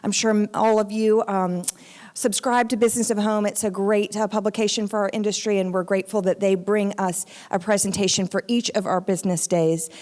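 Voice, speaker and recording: A woman's voice: medium pitch, fairly even intonation, fairly quick, a little mellow. A woman general manager giving friendly, loose welcome remarks at a conference, with many ums. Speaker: general manager and event host. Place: large event hall with live audience. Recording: long hall reverb.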